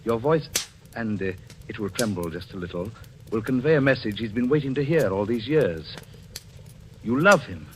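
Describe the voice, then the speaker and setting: Suave, menacing husband, old radio suspense. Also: male voice, on the low side, expressive, normal speed, a bit bright, a little hiss or hum. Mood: cold, menacing. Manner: suave, commanding, sardonic. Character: cruel, menacing, controlling husband, coldly mocking his wife. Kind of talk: 1940s radio suspense acting. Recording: old radio broadcast with music behind.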